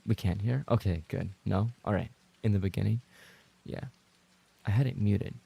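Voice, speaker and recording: A lowish male voice, thick with fry and very husky. He is a streamer talking casually to his chat, rambling along with playful banter. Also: moderate pace, dark tone. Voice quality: gravelly, husky. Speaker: livestreamer and ASMR voice actor reading chat.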